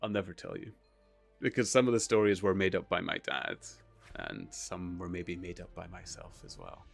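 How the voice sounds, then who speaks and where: A man's voice: deep voice, expressive, normal speed, gravelly, dry recording, faint music behind. A Scottish man hosting a live stream, a chatty storyteller who laughs often and reads out chat. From Scotland. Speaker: livestream host. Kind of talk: casual chat.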